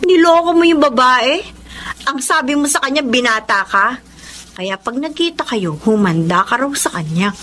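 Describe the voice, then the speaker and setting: A woman's voice: high-pitched, expressive, unhurried, fairly bright tone. A Filipino woman playing a long-suffering, hurt wife in a radio drama, speaking with emotional, pleading delivery. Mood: defiant. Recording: music bed behind the voice.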